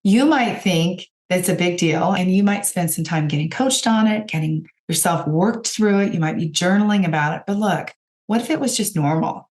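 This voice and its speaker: A woman with a raspy voice, hoarse from a cold, hosting a podcast and giving direct, encouraging coaching talk to her listeners. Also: on the low side, normal speed, a little mellow. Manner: encouraging, direct, addresses listeners as you guys. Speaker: podcast host and business coach.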